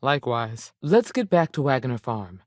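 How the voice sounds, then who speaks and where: A woman's voice: extremely low pitch, sing-song, normal speed, dry recording, studio quality, exaggerated voice. A woman playing a seasoned, firm but fair space ranger in a video game, plainspoken and authoritative, with folksy turns of phrase. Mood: firm, measured. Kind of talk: video game character dialogue.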